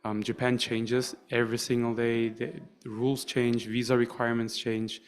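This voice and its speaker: A man's voice: medium pitch, little pitch movement, normal speed. A man speaking as a panelist, introducing himself and his work to an audience. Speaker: panel speaker, creative agency owner.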